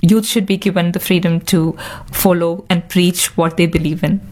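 A woman's voice: lowish voice, level tone, normal speed, darkish tone. A woman moderating a panel discussion, who sums up the guests' views and prompts them with short affirmations.

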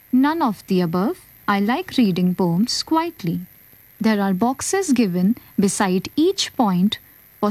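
A woman reading a textbook aloud, describing pictures and listing items one by one in a measured way. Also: a little high, darkish tone, dry recording, rough recording. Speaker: textbook reader. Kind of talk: slow read-aloud describing textbook pages.

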